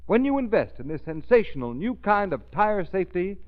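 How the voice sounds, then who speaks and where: A man's voice: high-pitched, very animated, brisk. A man announcing on 1930s radio, reading a script in a formal, declamatory, urgent manner. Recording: tinny 1930s radio recording, music behind.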